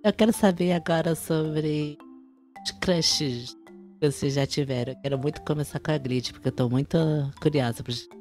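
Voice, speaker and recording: A woman's voice: sits low, normal speed, a little mellow, soft background music. A woman with a Brazilian accent hosting a casual chat. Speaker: host of an online chat show.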